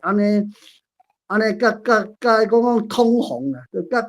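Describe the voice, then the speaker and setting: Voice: medium pitch, measured pace. An elderly speaker in their eighties with a Taiwanese accent, sharing casually in a group discussion in short fragments full of tag particles. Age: 80s. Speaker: participant in an online play-reading forum.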